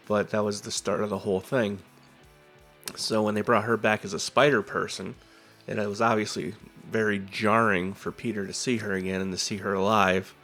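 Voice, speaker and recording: A man with a lowish voice talks over his drawing, nasal and stuffed up from a cold. He rambles in a relaxed way through comic book lore, full of "uh"s and asides. Soft music plays faintly under his voice-over. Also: creaky. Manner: rambling, easygoing fan storytelling with fillers. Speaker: drawing channel host. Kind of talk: casual talk over a drawing video.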